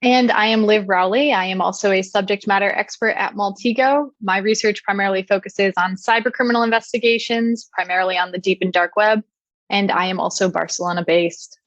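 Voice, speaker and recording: A woman's voice: medium pitch, steady tone, brisk, no echo. Woman presenting a talk, dry muffled sound. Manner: clear explanatory delivery with frequent "uh" and "um". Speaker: conference speaker. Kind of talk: technical conference presentation.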